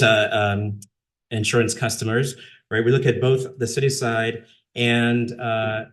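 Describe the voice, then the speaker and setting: A man, a city council member, giving earnest remarks at a council meeting, with many fillers and restarts. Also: medium pitch.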